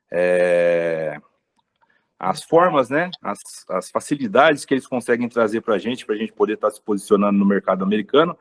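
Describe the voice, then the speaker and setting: A man hosts a webinar, introducing his guests and putting questions to them. His voice is on the low side and his speech is loose, padded with small filler words. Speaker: webinar host. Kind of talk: hosting a webinar.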